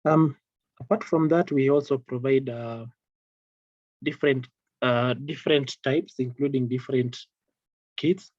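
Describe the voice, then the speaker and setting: A man giving an informal product training over slides in a halting, repetitive, hesitant way. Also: mid-range voice, steady tone, darkish tone, no echo, highs cut off. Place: online video call with screen-shared slides.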